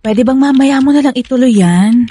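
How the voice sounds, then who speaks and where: A woman playing a suspicious, insistent young girlfriend who presses for the truth in a radio drama, with a slightly husky voice. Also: sits high, normal speed, dark tone, a little hiss or hum, lightly acted. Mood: serious, insistent. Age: young adult. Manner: pressing, nagging, interrogating.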